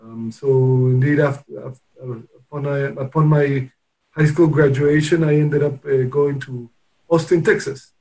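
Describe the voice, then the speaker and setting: A man from Peru speaking as an interview guest, telling his story in a hesitant way with many pauses and restarts. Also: medium pitch, steady tone, mellow, rough recording. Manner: hesitant, with frequent restarts and pauses while recounting. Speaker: interview guest, company founder. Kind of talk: casual interview conversation.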